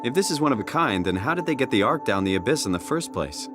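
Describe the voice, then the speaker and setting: A man's voice: medium pitch, very animated, quick pace, over music, natural voice. An actor voicing a questioning, earnest everyman hero in a video game, talking in a casual, wry way. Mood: curious. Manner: casual, inquisitive.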